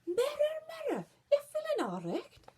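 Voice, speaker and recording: Woman putting on a shrill, vain Scottish fairy-tale Queen. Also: wildly swooping pitch, normal speed, warm tone, full character voice. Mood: shocked. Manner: imperious, demanding.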